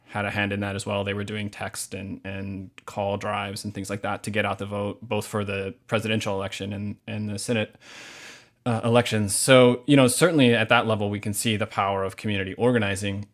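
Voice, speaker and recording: A man speaking as a novelist guest in a measured, thoughtful way. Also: on the low side. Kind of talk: literary reading and thoughtful interview answers.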